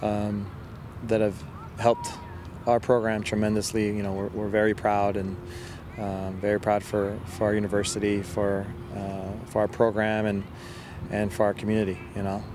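A middle-aged man, a soccer coach, giving a post-match interview in a halting, measured way with repeated words, outdoors on a field. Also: lowish voice, monotone. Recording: outdoor field recording with loud ambient noise.

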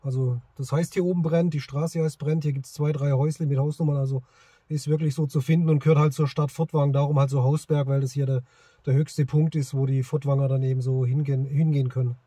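A man's voice: mid-range voice, level tone, fast, no echo. A middle-aged man, chatting casually as he tests a CB radio in a parked car. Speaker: amateur CB radio operator and vlogger. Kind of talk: casual chat and commentary while testing a radio. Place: inside a parked car in a forest. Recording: clearly noisy, radio static in car. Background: loud noise, radio hiss.

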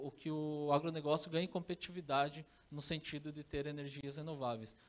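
A man in his thirties from Brazil delivers a conference talk on stage in a large hall. His voice sits slightly high, and he hesitates often, trailing into fillers and restarting phrases. He speaks into a handheld microphone.

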